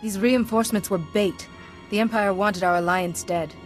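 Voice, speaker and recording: An actress playing a composed, resolute young female warrior-monk leader in a video game, measured and calm. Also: mid-range voice, faint music behind, close to an everyday voice. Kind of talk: video game cutscene acting.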